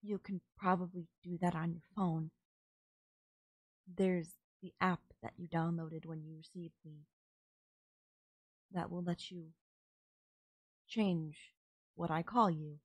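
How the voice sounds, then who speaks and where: Casting: actress as female android servant for audio roleplay, polite and emotionless, lowish voice, very measured and halting, dry close sound. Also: fairly even intonation, breathy edge, dry recording, lo-fi sound, heavily acted. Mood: flat, matter-of-fact. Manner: formal, stilted, halting, literal delivery. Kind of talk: scripted audio roleplay, ASMR.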